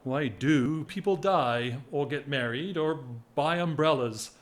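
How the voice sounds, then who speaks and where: Actor as slow, wry English gentleman, lovesick. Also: on the high side, natural voice. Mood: whimsical. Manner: wry, measured, theatrical. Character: whimsical lovesick English gentleman of forty-one, self-mocking. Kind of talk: period audio drama acting.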